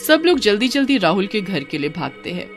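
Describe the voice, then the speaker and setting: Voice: mid-range voice, very animated, brisk. A storyteller giving scripted narration of a cartoon horror tale. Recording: music behind the narration.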